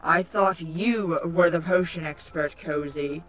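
An imperious changeling villainess from a cartoon audio story. She speaks deliberately and scoldingly, full of contempt, over background music. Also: on the low side. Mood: haughty lecturing. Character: imperious changeling queen villainess, irritable and haughty. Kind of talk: animated-series fan fiction audio drama. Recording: music under the voice.

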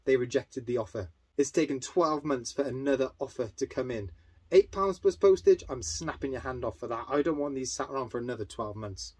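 A man's voice: mid-range voice, lively intonation, brisk, a little mellow, husky. A man in his thirties talking casually to camera about items he has resold, upbeat and chatty, in a room lined with shelves. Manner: chatty and upbeat, walking through sales. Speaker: eBay reseller vlogger. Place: indoor storage room lined with toy shelves.

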